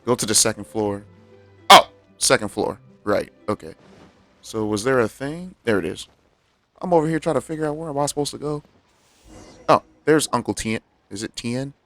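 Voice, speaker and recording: A man's voice: mid-range voice, moderate pace, pauses often, a bit bright, no echo. Casual American man streaming, close and dry. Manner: slangy, joking, talking back to characters. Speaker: game streamer. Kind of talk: casual live gameplay commentary. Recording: music under the voice.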